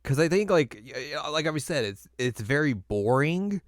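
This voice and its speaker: A man's voice: fairly high pitch, expressive, moderate pace, no room sound, studio quality. A man co-hosting a podcast in casual conversation, informal and wry, repeating words for emphasis. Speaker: podcast co-host. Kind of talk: casual chat, film ranking discussion.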